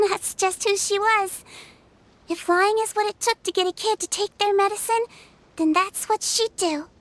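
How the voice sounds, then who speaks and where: A woman voicing a calm, kind young nonhuman doctor in a video game, who tells her own story in a soft, kindly way. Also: extremely high pitch, unhurried. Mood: gentle. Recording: game soundtrack music behind.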